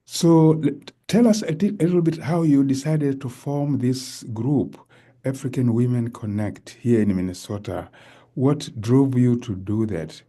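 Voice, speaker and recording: A man's voice: medium pitch, measured pace, mellow. A man hosting an interview show, chatting with his guest in an enthusiastic way. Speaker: host. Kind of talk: interview chat.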